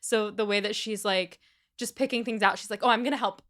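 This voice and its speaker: A woman co-hosting a podcast, chatting casually and retelling a story with animated asides. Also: medium pitch, fairly quick. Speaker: podcast co-host. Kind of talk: casual book discussion.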